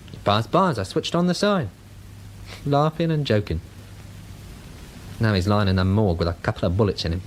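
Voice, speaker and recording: An actor playing a chatty, shaken working-class London fish bar owner in a radio drama, talking colloquially and hesitantly. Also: male voice, lowish voice, brisk, long pauses, slight background noise, lightly acted. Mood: shaken, wistful.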